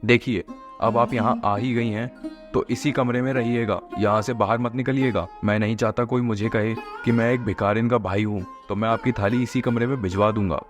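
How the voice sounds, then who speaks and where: A haughty rich man of a cartoon moral tale, acted by a man, mid-pitched and status-obsessed. He talks in a scolding, self-important way, with faint music underneath. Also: fairly even intonation, moderate pace, natural voice. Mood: stern, ashamed. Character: proud, status-conscious rich brother and father of the bride. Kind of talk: Hindi moral-story cartoon dialogue.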